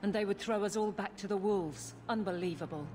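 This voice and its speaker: A woman's voice: medium pitch, a little fast, natural voice. A woman playing a firm, blunt, practical refugee in a fantasy game. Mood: indignant.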